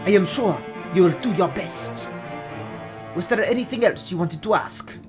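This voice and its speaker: This is a man playing an exiled fox prince from a video game, melancholy and courteous. He speaks formally and earnestly in a Spanish accent, with a lively rise and fall. Faint music plays behind him. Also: high voice, normal speed. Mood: courteous, reflective.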